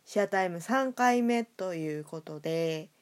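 A woman's voice: on the low side, lively intonation, a little slow, darkish tone. A woman hosting a casual chat with a guest, giggly and self-deprecating in the way she talks. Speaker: host and writer of an audio drama show.

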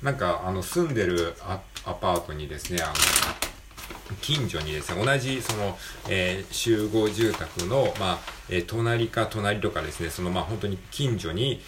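A man's voice: low voice, animated, moderate pace, background noise. A man hosting a solo show with an improvised casual monologue, rambling and self-deprecating as he digresses. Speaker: solo audio show host.

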